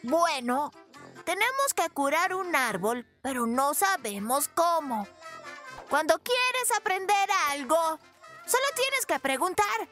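Cartoon bear cub: tiny high voice, boastful little inventor, bouncy and animated, for a kids' dub. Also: slow, faint music behind, exaggerated voice. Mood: excited. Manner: exuberant, cocky, exclamatory. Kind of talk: dubbed children's cartoon acting.

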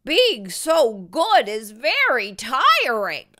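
Lady doing an eager little boy cat for a kids storybook, proud of himself, bright voice, slow delivery. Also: sits high, huge pitch swings, voice pushed up, heavily acted. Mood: weary. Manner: earnest, exclaiming, boastful. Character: eager, self-satisfied young male cartoon cat.

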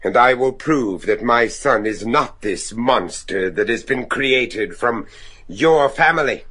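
An actor playing a grieving, defiant gentleman father in a gothic radio drama, deliberate and accusing. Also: medium pitch, animated, husky, exaggerated voice.

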